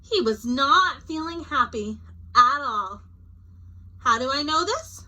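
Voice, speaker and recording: A woman reads to children as a teacher would, chatting casually between passages. Her voice is slightly high and bright, with lively, expressive swings in pitch. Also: slow, rough recording. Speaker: teacher reading aloud. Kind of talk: children's read-aloud with casual asides.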